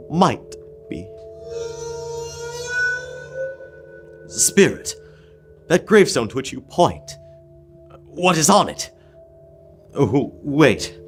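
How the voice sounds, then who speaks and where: A frightened old miser, acted by a young man, speaks slowly and haltingly in a sharp, fairly high voice. He pleads theatrically in old-time radio style over faint music. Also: heavily acted. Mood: dread, pleading.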